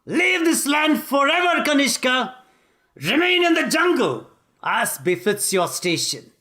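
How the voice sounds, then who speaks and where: A man whose first language is Tamil, voicing an arrogant king who shouts his scorn. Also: very high voice, very animated, unhurried, voice pushed up, heavily acted. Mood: scornful.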